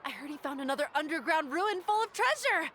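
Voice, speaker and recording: A woman playing an excited young villager urging a friend along in a video game. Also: high voice, fairly quick, a little hiss or hum.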